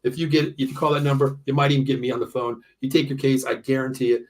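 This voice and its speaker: A man's voice: mid-range voice, fairly even intonation, quick pace. An American man in his early fifties hosting a casual sports show, talking bluntly and often repeating himself. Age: early 50s. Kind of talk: casual sports banter with ad reads.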